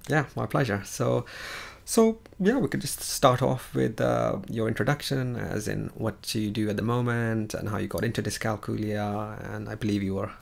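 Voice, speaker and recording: A man hosting a live-streamed chat, speaking in a halting way with many fillers and restarts. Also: fairly low pitch, gravelly. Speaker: livestream host.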